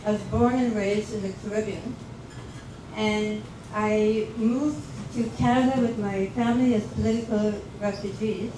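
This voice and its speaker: A woman from Guyana telling her personal story as a guest speaker, with lively quoted asides. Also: medium pitch, moderate pace. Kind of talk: personal story at a livestream event. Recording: echoey, distant, noisy livestream audio.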